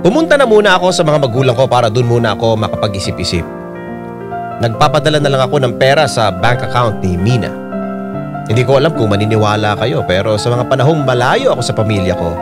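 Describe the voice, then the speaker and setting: A man acting as a troubled young Filipino husband narrating a radio drama in the first person. He sounds confessional and reflective, moving widely around an average male pitch, with loud instrumental music behind him. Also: close to an everyday voice.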